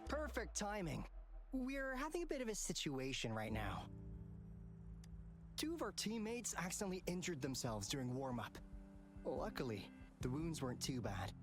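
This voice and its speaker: A woman's voice: deep voice, very animated, normal speed. A woman playing a friendly, hopeful young relay racer in a video game. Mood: explaining. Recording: music playing behind the voice.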